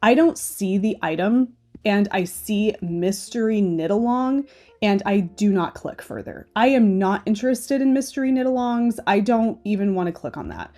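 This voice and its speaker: Woman knitting host, stuffy-nosed and nasal, chatty, opinionated, mellow tone. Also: mid-range voice, lively intonation, moderate pace. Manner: chatty and opinionated, often asking "okay?". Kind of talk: casual screen-share commentary.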